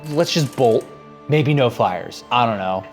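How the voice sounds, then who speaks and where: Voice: medium pitch, lively intonation, a little slow. A tabletop game player chatting casually and improvising in character, with an informal, sweary manner. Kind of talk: casual chat and improvised roleplay. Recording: music laid under the voice.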